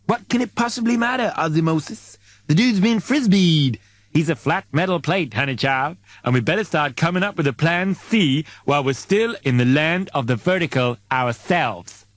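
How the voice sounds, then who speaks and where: A man's voice: fairly high pitch, expressive, normal speed, dry recording, natural voice. A man voicing a blunt, flippant space crew member in an audiobook, sardonic and drawling at the ends of phrases. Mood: scornful, flippant.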